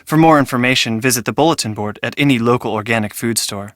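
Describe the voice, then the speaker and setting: A man's voice: medium pitch, fast talker, a bit bright, dry recording, clean studio sound, close to an everyday voice. Man playing a mild, earnest public radio host. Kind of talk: radio announcing.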